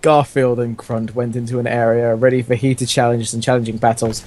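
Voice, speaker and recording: A man's voice: mid-range voice, flat delivery, fairly quick, darkish tone. A man reading a comic story aloud as host, with casual banter and dramatic emphasis. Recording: faintly noisy home gaming recording.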